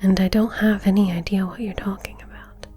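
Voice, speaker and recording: Woman playing a breathy, whispering, composed female suspect. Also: medium pitch, level tone, soft background music, lightly acted. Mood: polite protest. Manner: soft-spoken, polite, measured, subtly taunting. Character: composed, coolly defiant woman under interrogation. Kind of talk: ASMR roleplay.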